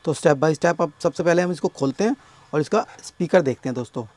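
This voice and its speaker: A man with a sore, hoarse throat explaining a speaker repair step by step at his workbench, often addressing viewers as friends. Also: a little high, darkish tone, dry recording. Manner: explains slowly, keeps calling viewers friends. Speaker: electronics repair technician.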